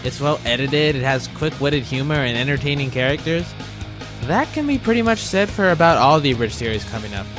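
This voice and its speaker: A man's voice: mid-range voice, lively intonation, a little fast, music in the background. A man hosting a countdown review video in a casual, scripted narration with a wry, jokey manner. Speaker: host of a top-list video.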